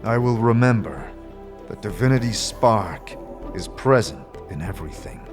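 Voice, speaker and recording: A low-voiced, solemn male adventurer played by a man in a fantasy audio drama. He is terse and grave, weighing his words. Music runs behind him. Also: lively intonation, normal speed, lightly acted.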